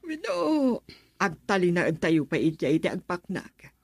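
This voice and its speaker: A woman with an Ilocano Filipino accent and a husky voice acting in a radio drama as a practical older mother of a grown son. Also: lowish voice, big pitch swings, measured pace, no room sound, full character voice. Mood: practical, insistent.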